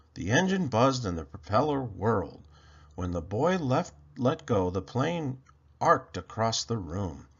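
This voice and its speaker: A man with a gravelly, husky voice narrating a children's story in an expressive read-aloud style. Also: fairly low pitch, muffled recording. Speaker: storybook reader.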